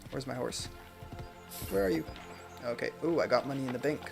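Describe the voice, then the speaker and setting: A young adult man streaming a game from home, chatting casually and reading viewer comments aloud in a soft, self-deprecating way, speaking into a desk microphone. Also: mid-range voice, fairly even intonation, brisk, gravelly. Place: home room. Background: game music.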